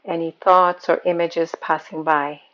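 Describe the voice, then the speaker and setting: A woman guiding a meditation as a yoga nidra teacher, speaking in a calm, soothing, unhurried manner. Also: fairly low pitch, dull audio. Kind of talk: guided meditation.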